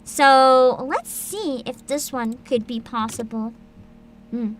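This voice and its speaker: A woman's voice: sits high, slow, soft background music. A woman hosting a livestream, chatting casually and reading bits aloud in a bubbly, enthusiastic manner. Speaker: virtual streamer host.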